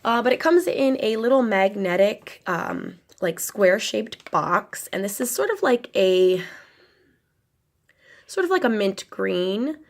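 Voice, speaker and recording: A woman's voice: medium pitch, animated, slow. A young woman with a cold, her voice nasal and a little crackly, chatting casually and rambling as she unboxes a tarot deck for her channel. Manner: rambling, many ums and restarts.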